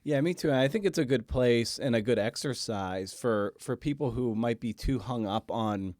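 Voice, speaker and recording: A man hosting a podcast, chatting casually and circling haltingly toward his questions. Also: medium pitch, darkish tone, no room sound. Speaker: podcast host, interviewer. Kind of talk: casual conversational interviewing.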